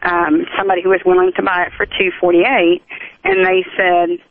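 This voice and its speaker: A woman's voice: fairly low pitch, big pitch swings, brisk, vocal fry, no room sound. Woman caller on a tinny phone line. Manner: hesitant, rambling explanations. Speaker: radio show caller. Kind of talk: casual phone-in conversation. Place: phone call to a radio show. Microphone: telephone.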